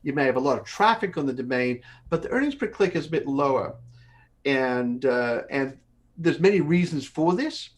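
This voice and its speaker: Webinar host, male, 50s, mid-pitched, conversational explainer who repeats words as he goes, on a video call. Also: expressive, moderate pace. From born in Australia, grew up in northern Canada. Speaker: company host of an online Q&A session. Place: home office, indoors.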